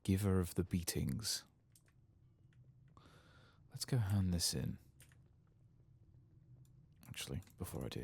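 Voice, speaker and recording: A man giving casual, rambling, wry and sweary soft-spoken ASMR commentary on a game, close to the mic. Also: low-pitched, animated, takes it slowly, long pauses, breathy edge. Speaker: host of a game playthrough channel.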